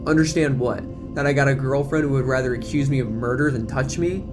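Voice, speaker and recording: A man's voice: medium pitch, fairly quick, lightly acted. A man voicing a frustrated, pushy teenage boyfriend in an audio drama, insistent and exasperated, with music behind.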